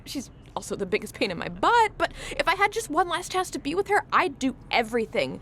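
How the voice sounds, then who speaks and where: An actress playing an earnest young tour guide on their first day, talking persuasively and eagerly in a radio play. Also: female voice, sits high, sing-song, brisk, a bit bright, a little hiss or hum, exaggerated voice. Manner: persuasive, eager, a bit preachy.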